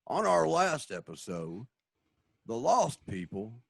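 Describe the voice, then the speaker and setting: A man's voice: medium pitch, big pitch swings, slow, vocal fry, slightly rough, no echo, clean studio sound. A man giving dramatic scripted narration for a radio drama.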